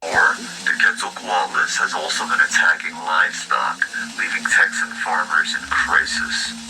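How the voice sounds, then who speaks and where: A man reading a scripted news bulletin in a grave, formal, deadpan manner. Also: a bass voice, moderate pace. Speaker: news announcer in an analog horror video. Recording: noisy lo-fi broadcast-style audio. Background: loud noisy ambience.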